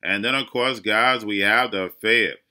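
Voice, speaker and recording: A man with a very bright, forward voice hosting his own crypto market show. He speaks in an urgent, preachy monologue, addressing his audience as "guys" and driving his warnings home. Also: on the low side, fairly even intonation, a little slow. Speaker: crypto channel host.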